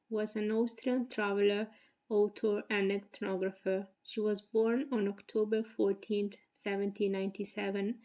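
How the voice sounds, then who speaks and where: A young woman in her twenties with an Italian accent, reading a prepared presentation as a graduate student, careful and measured with small slips. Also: mid-range voice, darkish tone.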